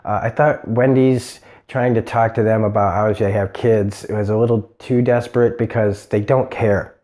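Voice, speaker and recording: A man's voice: fairly low pitch, fairly even intonation, moderate pace, mellow. A man hosting a TV review show, talking casually and rambling with many fillers and restarts. He has a cold and sounds nasal. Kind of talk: casual unscripted episode review.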